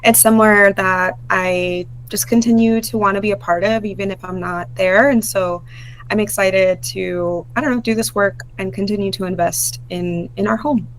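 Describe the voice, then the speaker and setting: Brief: a relaxed woman on a video call, mid-pitched, casual, lots of fillers, faint hum behind her. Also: normal speed, rough recording. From Brownsville, Texas. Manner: relaxed, many fillers like um and you know. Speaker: worker-owner of a community film center. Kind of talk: casual info-session presentation.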